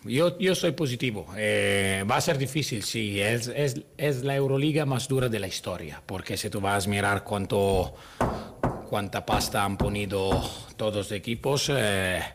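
A man with an Italian accent, a basketball coach answering press questions haltingly in a second language, hunting for words. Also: on the low side, slight background noise. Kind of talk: post-game press conference answers. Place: press room.

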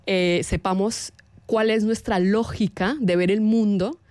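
A woman with a Colombian accent, speaking as a guest in a radio interview and talking thoughtfully, with pauses and fillers. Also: medium pitch, fairly even intonation, darkish tone, no room sound. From Latin America.

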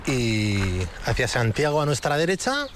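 A man from Bilbao presenting a travel show, chatting in a lively way while walking an outdoor trail. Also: fairly high pitch, moderate pace, a little hiss or hum. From Bilbao, Spain. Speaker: host.

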